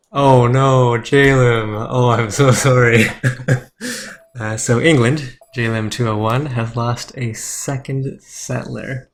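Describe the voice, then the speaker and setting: Man, mid-pitched, soothing voice; calm live game streamer explaining moves, keyboard clicks behind. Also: slow, long pauses.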